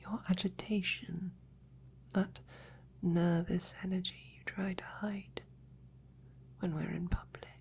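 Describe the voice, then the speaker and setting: A woman playing a devoted, flirtatious female knight in an intimate roleplay, with a breathy, husky, often whispering voice and courtly phrasing. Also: on the low side, level tone, slow pace, pauses often. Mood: teasing, flirtatious. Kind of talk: intimate roleplay audio, ASMR.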